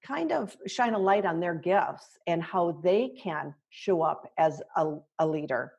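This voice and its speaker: A woman's voice: fairly low pitch, expressive, a little slow, creaky, darkish tone, slightly rough, muffled recording. A woman hosting an interview, admiring and hesitant, circling her thoughts before landing on them. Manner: admiring, hesitant, many fillers and restarts. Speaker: interviewer, host. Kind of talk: candid interview conversation.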